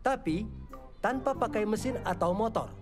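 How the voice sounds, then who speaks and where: A woman plays a cartoon science teacher setting out instructions in a measured classroom manner. The voice is mid-range, with music clearly behind it. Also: medium pitch, lightly acted. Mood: instructive. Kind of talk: animated children's cartoon dub.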